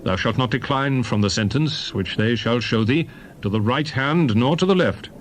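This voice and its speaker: Man acting solemn lawgiver, animated pitch. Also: a little hiss or hum, lightly acted. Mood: solemn, commanding. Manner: solemn, declamatory, deliberate phrasing. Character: solemn male lawgiver prophet proclaiming commandments to his people. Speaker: narrator of dramatized Bible. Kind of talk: dramatized scripture reading, solemn oratory.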